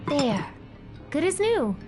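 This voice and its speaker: A woman's voice: sits high, very animated, takes it slowly, exaggerated voice. An actress playing a plucky, curious teenage baker girl in a video game, breezy and casual. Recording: game music behind the voice. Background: game background music.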